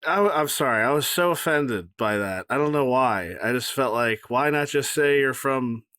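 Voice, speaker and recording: A man's voice: medium pitch, lively intonation, moderate pace, pushed and bright, dry recording, clean studio sound. A man co-hosting a podcast in casual chat, joking and emphatic. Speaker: podcast co-host. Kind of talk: casual chat, reality TV recap.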